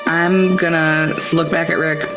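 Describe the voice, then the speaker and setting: Lowish-voiced woman playing tabletop game over music. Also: level tone, a little slow, low-quality recording. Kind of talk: casual improvised game chat.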